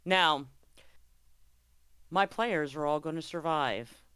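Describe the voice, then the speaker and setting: A man's voice: fairly high pitch, takes it slowly, a little hiss or hum. A man running a tabletop sci-fi roleplaying game, explaining rules conversationally and narrating scenes with some drama. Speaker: game master. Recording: faint music under the voice. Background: soft background music.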